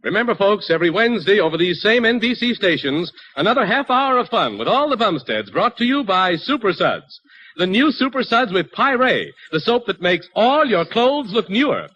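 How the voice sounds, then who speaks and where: Emphatic, high-voiced 1940s radio announcer man. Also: very animated, moderate pace, sharp tone, muffled recording. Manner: emphatic, salesmanlike. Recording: noisy 1940s radio broadcast.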